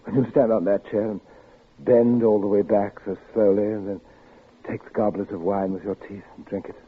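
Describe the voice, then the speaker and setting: A soft, creepy gentleman caller. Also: on the low side, level tone, husky. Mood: probing. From London. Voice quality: quiet, measured, unsettling. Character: soft-spoken, poetic, creepy gentleman suitor. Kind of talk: 1950s radio drama acting. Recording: tinny old radio broadcast with faint hiss.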